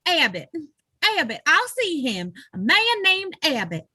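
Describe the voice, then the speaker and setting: A woman acts an anxious widow at a séance in an old radio play. Her voice is piercingly high and bright, rising and falling with emotion as she pleads. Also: wildly swooping pitch, unhurried, no echo, clean studio sound, heavily acted. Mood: pleading. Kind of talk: old-time radio drama acting.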